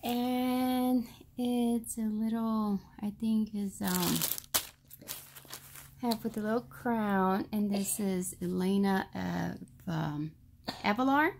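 A woman's voice: medium pitch, slow pace, gravelly, darkish tone, a little hiss or hum. A woman casually showing items for a vlog, talking in a hesitant, rambling way in a carpeted room at home. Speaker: shopping haul vlogger. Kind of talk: casual narrated show-and-tell.

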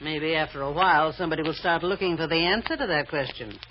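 A woman's voice: low-pitched, fast, lightly acted. Bossy, scheming woman, played by an actress in vintage radio drama. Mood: calculating. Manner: commanding, clipped, sardonic. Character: shrewd, bossy woman scheming and controlling her tippling companion. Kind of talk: old-time radio drama acting. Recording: dull, faintly noisy old radio recording.

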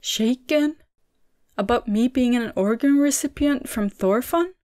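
A woman's voice: mid-range voice, steady tone, normal speed, darkish tone, dry recording, lightly acted. A woman voicing a stubborn young city man, hurt and frustrated, in a storybook reading with a dry, close sound. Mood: pleading. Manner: pleading, emotional delivery.

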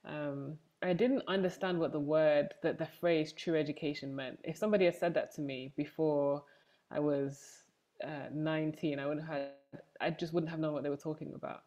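Young woman, English accent, low register, interview guest speaking conversationally about herself on a video call. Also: fairly even intonation, moderate pace, warm tone. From Manchester, England. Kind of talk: conversational personal testimony. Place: room at home, indoors, on a video call. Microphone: wired earphones on a video call.

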